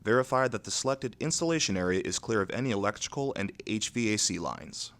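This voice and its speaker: A man with a fairly low voice narrates an instructional video. His delivery is scripted and methodical, keeping a neutral, measured tone as he moves from step to step. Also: expressive, a bit bright.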